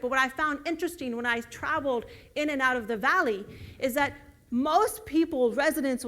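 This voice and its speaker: A woman whose first language is Urdu, giving an earnest lecture with slides and building emphasis on key words. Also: high voice. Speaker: guest lecturer.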